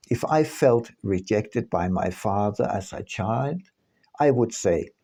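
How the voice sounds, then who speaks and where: A man reading his own book aloud as scripted narration, with measured, deliberate phrasing and pauses. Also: medium pitch, vocal fry, dark tone.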